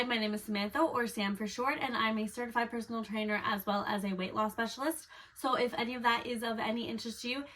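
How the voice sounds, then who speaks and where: A woman personal trainer chats to her viewers in an upbeat, casual vlog style. Her voice is bright and forward, at an ordinary pitch for a woman. She explains each step and reads figures off her screen as she goes. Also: slight background noise, rough recording. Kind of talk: casual fitness vlog talk.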